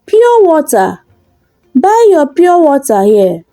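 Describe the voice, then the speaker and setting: A woman's voice: sits high, sing-song, slow pace, dark tone, no echo, studio quality, faint music behind, pitched above the performer's own voice, exaggerated voice. A woman voicing a determined, hopeful, earnest orphan village girl in a folktale. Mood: calling out.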